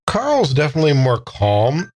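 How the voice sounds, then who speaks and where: A man playing a tabletop game, haltingly improvising what his character does in the third person. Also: a little high, huge pitch swings, darkish tone. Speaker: player in a tabletop game podcast.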